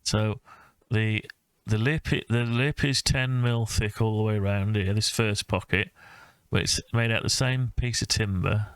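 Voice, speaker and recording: A man talking through his own design project informally, thinking aloud with hesitant, halting phrases. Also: fairly low pitch, steady tone, clean tone, no echo. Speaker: hobbyist maker presenting own design project. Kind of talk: informal screen-recorded CAD design walkthrough.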